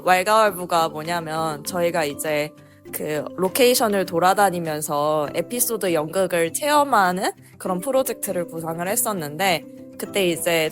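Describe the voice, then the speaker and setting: A young woman speaking lower than usual with a hoarse, sore throat. She is the manager of a youth center and chats in a relaxed, laughing way among her coworkers. Soft music plays faintly behind her. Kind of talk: casual interview among coworkers.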